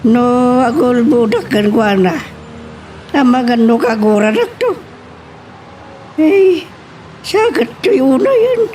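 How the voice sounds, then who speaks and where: A woman acts out a fretful old Filipino grandfather in an audio drama. The voice is high and very lively in pitch, drawn out slowly, with faint noise behind it. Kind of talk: amateur audio drama acting.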